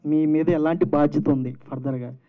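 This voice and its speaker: A man's voice: a little high, fairly even intonation, moderate pace. A man putting questions as a reporter at a press event. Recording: thin, phone-like event audio.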